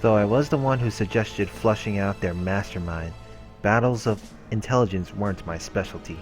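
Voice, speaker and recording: A very low-voiced man reads visual novel text out loud as a let's play host, slipping in casual remarks. He sometimes trips on a word and rereads it, with clear game music running underneath. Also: normal speed. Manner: stumbles and rereads words while reading. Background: visual novel background music.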